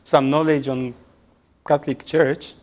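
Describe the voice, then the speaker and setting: A man from Congo, answering interview questions as a religious brother and psychologist, speaking in a measured, thoughtful way with many pauses and fillers. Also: fairly high pitch, flat delivery, a little mellow.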